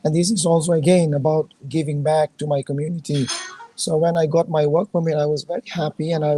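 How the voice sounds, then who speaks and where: A hoarse woman from Manipur, a charity founder speaking earnestly over a video call, pausing often with fillers as she loses her voice. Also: sits low, level tone, mellow, slight background noise, rough recording. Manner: halting, earnest, many fillers. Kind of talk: casual video call conversation.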